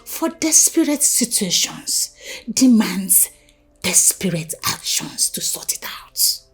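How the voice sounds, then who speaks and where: A woman's voice: mid-range voice, very animated, normal speed, darkish tone, breathy edge, soft background music. An actress with a Nigerian accent playing a desperate scheming woman who demands money, mixing pidgin and swinging from whispers to outbursts. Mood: menacing. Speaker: actor. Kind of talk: Nollywood drama acting.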